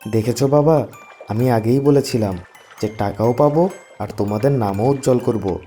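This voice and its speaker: An actor voicing a determined, clever schoolboy in a cartoon. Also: male voice, medium pitch, normal speed, dark tone, faint music behind, lightly acted. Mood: proud.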